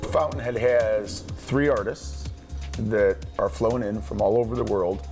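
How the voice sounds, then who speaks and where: A man who co-founded an artist residency, explaining how it works in a measured, matter-of-fact way. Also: on the low side, fairly even intonation, a little mellow, soft background music. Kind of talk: explanatory interview talk.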